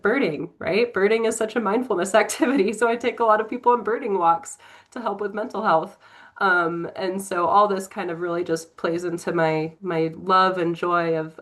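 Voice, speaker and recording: A woman's voice: lowish voice, fairly bright tone. Congested young woman on a video call. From Tennessee. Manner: upbeat, chatty, frequent fillers. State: congested from a cold. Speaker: prospective board member. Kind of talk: casual self-introduction on a video call.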